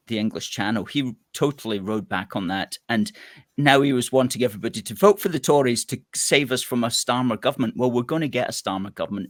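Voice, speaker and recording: A man's voice: medium pitch, dry recording, studio quality. A man interviewing a guest, giving strong opinions through long, rambling analogies. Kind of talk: political interview.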